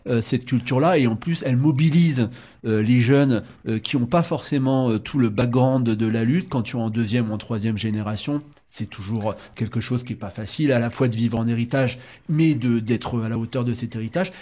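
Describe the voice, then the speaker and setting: A man's voice: mid-range voice, a little fast, dark tone. A man hosting a radio interview, talking casually with many fillers and restarts. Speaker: radio show host.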